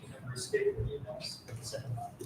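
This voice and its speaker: A man on a town board introducing interns at a public meeting, rambling with many fillers. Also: quick pace. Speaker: board member presenting interns. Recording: distant echoey mic, noise.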